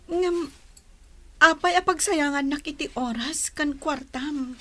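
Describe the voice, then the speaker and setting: A woman's voice: high-pitched, takes it slowly, a bit bright. An actress playing a hesitant, doubtful woman being courted in an Ilocano radio drama, with an Ilocano accent. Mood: doubtful, surprised. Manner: doubtful, questioning.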